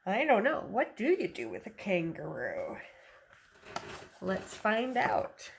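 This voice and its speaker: A woman teacher reading a picture book aloud to young children in an animated, instructive way, asking questions as she goes. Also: medium pitch, vocal fry, slight background noise. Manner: instructive, questioning, slow deliberate phrasing.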